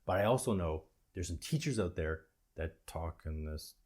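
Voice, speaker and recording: A man with a low, husky voice speaks as a presentation coach hosting an instructional video. He talks in short, emphatic phrases and sets off key words with deliberate pauses. The tone has a rough grain and sits deep in the male range. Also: expressive, a little mellow. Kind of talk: instructional talk to camera.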